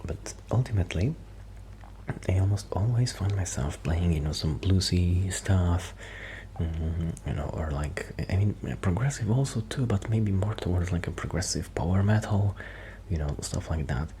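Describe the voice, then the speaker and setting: A man doing a soft-spoken, half-whispered ASMR roleplay as a shy, quiet musician friend, rambling hesitantly and interrupting himself. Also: deep voice, lively intonation, moderate pace, slight background noise. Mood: thoughtful, rambling.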